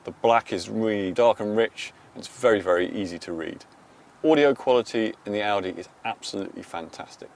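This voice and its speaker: A man's voice: fairly low pitch, brisk, gravelly. A man, a technology journalist giving an enthusiastic, informal review of a car's infotainment system. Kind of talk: product review to camera.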